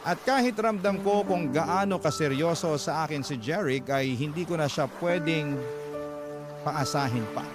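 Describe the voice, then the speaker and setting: A man's voice: on the high side, moderate pace. A man with a Filipino accent reading a letter as dramatic scripted narration, as host of a radio drama. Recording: loud music under the voice. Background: loud music bed.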